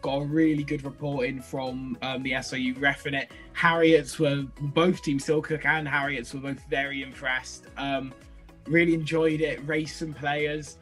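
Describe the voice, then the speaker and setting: A young man co-hosting a casual podcast over a video call from his bedroom, slightly hoarse from a cold, chatty and excitable. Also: on the high side, moderate pace, faint music behind. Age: young adult. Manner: excitable, chatty, laughs easily. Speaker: podcast co-host and rugby referee. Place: bedroom at home. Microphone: laptop webcam on video call.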